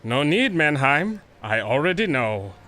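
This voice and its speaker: An actor playing a proud, confident German baron and war officer, suave and commanding with a German accent in a radio drama. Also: male voice, a little high, very animated, measured pace, pushed and bright, full character voice. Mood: smug, triumphant. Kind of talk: radio adventure drama acting.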